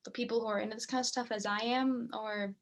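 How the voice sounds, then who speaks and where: A woman's voice: a little high, steady tone, fast talker, natural voice. A young woman acting on stage as a morbidly curious girl on a date, speaking casually and a little hesitantly. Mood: curious, chatty. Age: young adult. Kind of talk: student stage acting, naturalistic dialogue.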